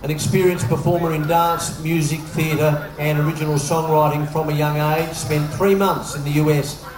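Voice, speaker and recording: A man's voice: a little high, little pitch movement, normal speed. A man announcing acts as master of ceremonies at a live talent show, in an upbeat showman manner. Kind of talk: stage announcing. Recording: echoey hall with cheering crowd.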